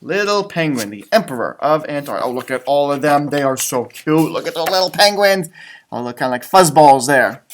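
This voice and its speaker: A man with a lively, swooping delivery hosts a children's storybook read-aloud. His voice sits fairly high for a man, and he talks like a teacher, exaggerating and repeating phrases for young listeners. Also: fairly quick, a little hiss or hum.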